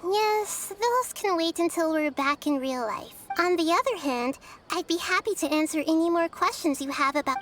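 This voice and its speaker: A woman voicing a small, girlish young goddess who explains things gently and patiently in a video game. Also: extremely high pitch, lively intonation, a little hiss or hum. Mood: playful. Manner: measured, explanatory. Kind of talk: video game character acting.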